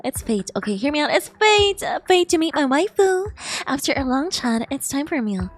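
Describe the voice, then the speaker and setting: A woman's voice: high voice, sing-song, normal speed, no echo. A woman game streamer giving live reaction commentary in a gushing, exclamatory, emotionally invested way. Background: game music.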